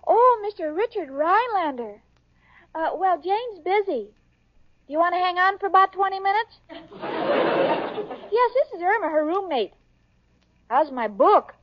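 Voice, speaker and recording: An actress playing a sweet, dim-witted young woman in a 1940s radio sitcom, wide-eyed and breathy. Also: extremely high pitch, no room sound, heavily acted. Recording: dull old radio broadcast, audience laughter, hiss.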